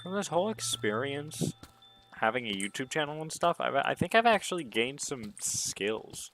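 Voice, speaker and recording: A man with a somewhat deep voice chatting casually to himself as he streams a game, rambling and musing. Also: big pitch swings, moderate pace, a bit bright, a little hiss or hum, rough recording. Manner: rambling, self-musing talk. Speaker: gaming livestreamer. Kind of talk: casual solo gaming stream chat.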